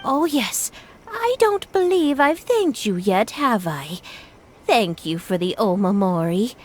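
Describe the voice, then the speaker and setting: Slow, gentle elderly grandmother. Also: mid-range voice, very animated. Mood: gentle, wistful. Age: older. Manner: polite, unhurried, reminiscing. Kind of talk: video game voice acting.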